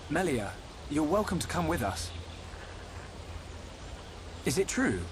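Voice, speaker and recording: An actor playing an earnest, helpful young hero in a video game, speaking directly and sincerely. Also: male voice, a little high, very animated, slow pace, noisy background. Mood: earnest, concerned. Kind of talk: video game cutscene acting.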